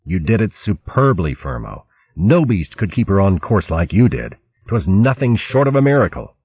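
A man voicing a male warrior mouse who speaks calmly and earnestly, close to his own voice, through a dull lo-fi recording. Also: sits low, moderate pace, breathy edge, full character voice. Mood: reassuring.

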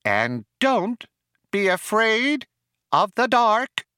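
A nasal ship's computer from a comic sci-fi audiobook. It is relentlessly cheerful and chummy, pitched high, with a whining, crooning swoop to everything. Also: male voice, big pitch swings, measured pace, pushed and bright, clear, resonant tone, no echo, studio quality, full character voice. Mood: crooning, wailing. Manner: crooning, wailing, over-familiar. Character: chirpy, relentlessly cheerful male ship's computer, singing mawkishly.

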